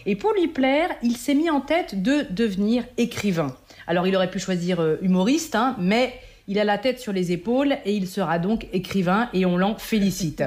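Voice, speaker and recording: A woman reading her literary column on a radio show, measured and wry with ironic asides. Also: mid-range voice, lively intonation, a little mellow. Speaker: book columnist.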